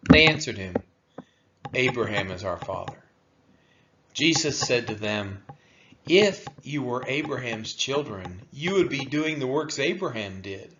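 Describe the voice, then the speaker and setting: A man reading scripture aloud in a solemn style, with deliberate, emphatic phrasing and a dull, lo-fi sound. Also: mid-range voice, expressive, background noise. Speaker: scripture reader.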